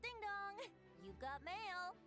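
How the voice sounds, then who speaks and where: Youthful messenger, high-pitched, hugely animated, playful, teasing, singsong, fantasy game, music behind. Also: female voice, takes it slowly, exaggerated voice. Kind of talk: dramatic scripted game voice acting. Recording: background music under the voice.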